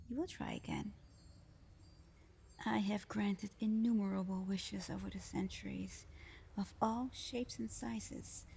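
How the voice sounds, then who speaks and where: Woman acting a slow, sly, flirty genie. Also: mid-range voice, mellow. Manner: teasing, seductive, slow and playful, often dropping to whispers. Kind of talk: ASMR roleplay addressed to the listener. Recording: clear background noise.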